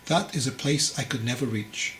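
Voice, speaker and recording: A man's voice: mid-range voice, normal speed, fairly bright tone, echoey room, a little hiss or hum, lightly acted, low-quality recording. A man voicing a fragile, anxious young would-be monk in a storybook, hesitant, earnest and deferential. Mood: timid. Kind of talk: storybook character dialogue.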